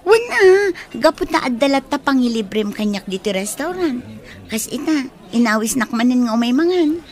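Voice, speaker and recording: A woman's voice: high voice, sing-song, normal speed, darkish tone. A woman acting a breezy, teasing, money-minded friend in a Filipino radio drama, with a Filipino accent.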